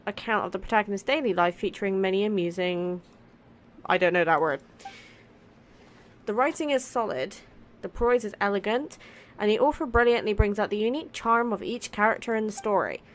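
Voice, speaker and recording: Woman gamer with a medium-pitched voice. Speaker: gaming content creator. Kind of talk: let's play, reading dialogue aloud and casual commentary.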